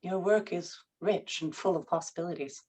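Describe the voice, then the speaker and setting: A woman's voice: on the low side, moderate pace, darkish tone, slightly rough. A woman playing a poised, controlling writer's wife in a period drama table read, with formal, persuasive phrasing. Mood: gentle, suggestive.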